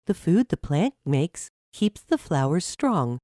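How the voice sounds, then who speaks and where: A woman voicing a patient, encouraging mother who explains things gently to her child in a storybook. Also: low voice, big pitch swings, takes it slowly, dry recording, clean studio sound, heavily acted. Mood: patient, explanatory.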